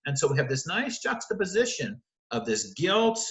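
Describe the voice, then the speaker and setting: A man's voice: a little high, very animated, moderate pace, fairly bright tone, echoey room. A man teaching literature, walking through the text of a play and explaining it line by line, often correcting himself as he goes.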